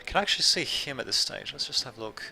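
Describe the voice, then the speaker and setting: Bright-voiced Australian man casting a strategy game. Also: mid-range voice, expressive, fast talker, gravelly, husky. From Serbia, raised in Australia. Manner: rambling, self-correcting, chatty asides to viewers. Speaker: gameplay commentator. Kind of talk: casual live game commentary.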